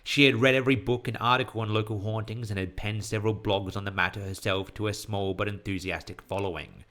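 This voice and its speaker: A man's voice: fairly low pitch, fairly even intonation, fast, fairly bright tone. A man reading a scripted horror story as its narrator.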